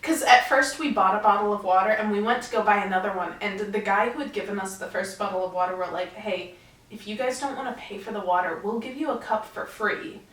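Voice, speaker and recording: A young woman chats with a friend, laughing easily and talking in loose, rambling bursts. Her voice sits at a medium pitch and sounds a little distant from the mic, with noticeable room echo around it. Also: a little fast, fairly bright tone. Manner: chatty, laughs often. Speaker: podcast co-host. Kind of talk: casual chat.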